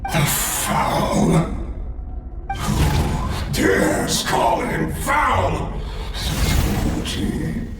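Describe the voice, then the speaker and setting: Strained, wildly swinging abyssal villain. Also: male voice, fairly high pitch, sing-song, soft background music, full character voice. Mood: outraged. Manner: strained, halting, bursts into shouting. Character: unhinged abyssal villain consumed by power, raging and straining. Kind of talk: video game voice acting.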